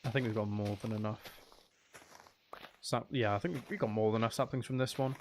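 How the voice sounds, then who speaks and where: Creaky-voiced man thinking aloud while gaming. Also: lowish voice, steady tone, brisk, darkish tone. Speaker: gaming streamer. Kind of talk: casual live gameplay commentary. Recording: faint background noise and clicking.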